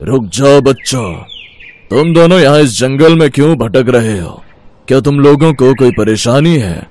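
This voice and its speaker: A man's voice: medium pitch, expressive, a little mellow, natural voice. A man voicing a solemn, authoritative forest holy man in a storybook tale. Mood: calm. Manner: measured, authoritative.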